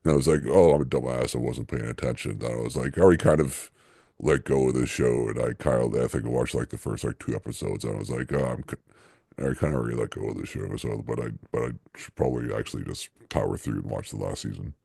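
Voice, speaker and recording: A man's voice: very deep voice, creaky, warm tone, husky, no echo, studio quality. A man co-hosting a podcast, rambling casually with lots of fillers and restarts. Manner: rambling, halting, many fillers and restarts, laughs often. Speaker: podcast co-host. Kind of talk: casual chat.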